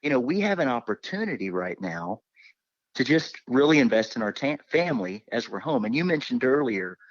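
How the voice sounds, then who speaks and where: A man's voice: medium pitch, very animated, brisk, no room sound, dull audio. A middle-aged man asking casual, roundabout interview questions as a co-host.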